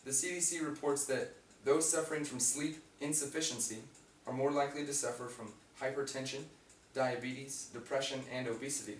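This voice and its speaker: Raspy young man, student speech, picked up from far off in an echoey room with faint hum. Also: medium pitch. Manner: reads from prepared notes with fillers and restarts. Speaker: student giving a class speech. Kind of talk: informative class speech. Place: classroom.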